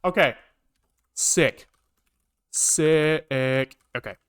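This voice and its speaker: A man's voice: a little high, very slow, smooth. A man live-streaming a programming session, talking casually to himself with informal, sweary muttering as he works things out. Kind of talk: casual thinking aloud while coding.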